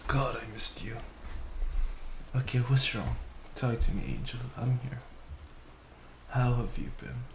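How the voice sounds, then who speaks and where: A young man playing a tender, doting boyfriend in an intimate comfort roleplay, speaking softly and soothingly with repeated reassurances. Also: mid-range voice, fairly even intonation, measured pace, long pauses, slight background noise, natural voice. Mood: gentle soothing. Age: young adult. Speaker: boyfriend roleplay audio performer. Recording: roomy echo, faint background ambience. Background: rain sounds.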